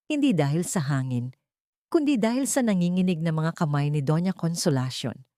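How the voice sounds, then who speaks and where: A woman's voice: fairly low pitch, dark tone, no room sound, clean studio sound. A woman narrator reading a dramatic scripted story.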